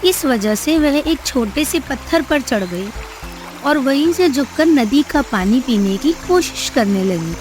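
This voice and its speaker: A woman's voice: sits high, expressive, unhurried, darkish tone, soft background music. A woman narrating a scripted moral story for young children.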